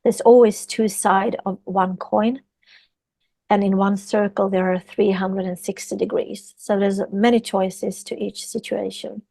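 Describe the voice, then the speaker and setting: A woman's voice: medium pitch, steady tone, a little slow, gravelly, mellow, no room sound. A woman from Sweden with a Swedish accent, a yoga teacher talking earnestly as a podcast guest and repeating phrases for emphasis. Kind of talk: podcast interview.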